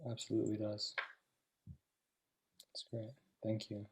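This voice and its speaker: Man, moderator, lowish pitch, reflective interview style with halting phrasing. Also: monotone, darkish tone. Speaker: discussion moderator. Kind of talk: thoughtful post-show interview.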